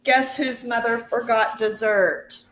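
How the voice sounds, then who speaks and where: A woman teacher reading a picture book aloud to children in an expressive, deliberate way, pausing to put questions to her listeners. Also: on the high side, rough recording. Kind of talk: storybook read-aloud.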